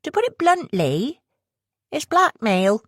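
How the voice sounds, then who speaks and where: Woman doing a high mocking west country schemer, pushy, rushes her words. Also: very animated, no room sound, clean studio sound, heavily acted. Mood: sly. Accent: West Country English. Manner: subtly mocking, rushed talk.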